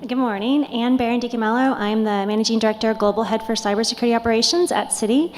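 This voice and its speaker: A woman panelist introducing herself briskly and upbeat at a conference. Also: fairly high pitch. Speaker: panelist, bank security executive. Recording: hall reverb with faint ambience.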